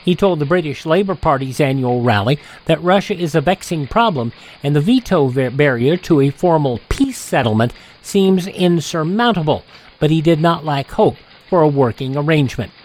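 A man with an American accent reading scripted news and programme announcements as a radio host, in measured phrases. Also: fairly high pitch, lively intonation, poor audio.

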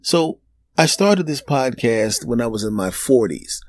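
A man in his fifties hosting a solo podcast, rambling and joking, laughing at his own jokes and dropping into low asides. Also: expressive, measured pace, no echo. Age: 50s. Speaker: podcast host. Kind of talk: solo comedic podcast monologue.

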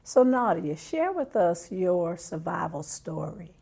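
A woman hosts an interview show, drawing out her guest with steady encouragement and affirmations. Her voice is husky and gravelly. It also sits on the low side. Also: takes it slowly. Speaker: show host.

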